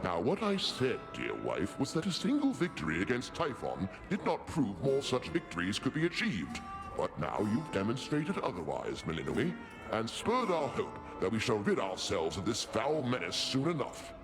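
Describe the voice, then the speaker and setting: Pompous old god king in a video game, real lively pitch swings, formal talk, music under it. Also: normal speed. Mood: stately, self-justifying. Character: regal king of the gods, older, grandly confident. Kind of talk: scripted game acting.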